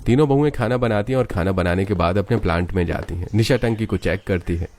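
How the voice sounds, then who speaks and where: A man's voice: lowish voice, fast talker, darkish tone. A man narrating a scripted cartoon story as its storyteller.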